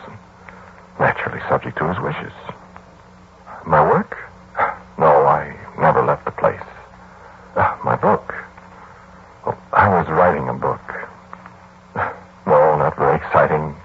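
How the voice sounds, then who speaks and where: A man's voice: very deep voice, husky, narrow, tinny audio, exaggerated voice. An actor playing a brooding young lodger, a mathematician, confiding his story in 1940s radio drama style on an old broadcast recording. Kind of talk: 1940s radio acting, first-person narration and dialogue.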